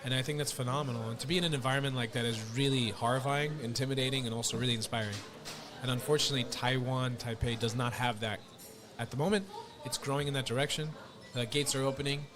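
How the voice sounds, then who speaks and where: A man hosting a podcast, chatting casually and telling stories in an animated way, acting out what other people said to him. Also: medium pitch, fast, over music. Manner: animated storytelling, acts out other people's lines. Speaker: podcast host. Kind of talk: casual chat.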